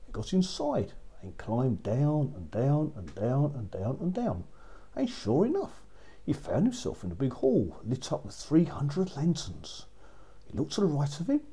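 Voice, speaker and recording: A man's voice: medium pitch, warm tone, breathy edge. A man narrating a fairy tale for children as a storyteller, repeating phrases for effect.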